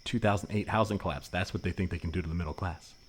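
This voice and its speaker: A man improvising an earnest, suspicious former anarchist in a comedy podcast, explaining things in a halting, rambling way. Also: low voice, slightly rough. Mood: suspicious, preachy.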